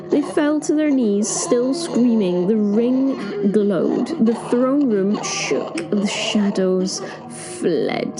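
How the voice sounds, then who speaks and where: A woman playing a wry, awed witness, narrating a horror audio drama in the first person with suspenseful pauses. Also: on the high side, poor audio. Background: music.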